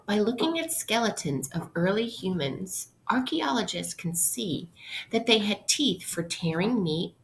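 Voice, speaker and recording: A woman's voice: medium pitch, very animated, a little slow, gravelly, a little hiss or hum, rough recording. A woman teacher reading aloud to children in an instructional way, explaining things simply. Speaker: elementary school teacher. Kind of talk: interactive read-aloud lesson for children.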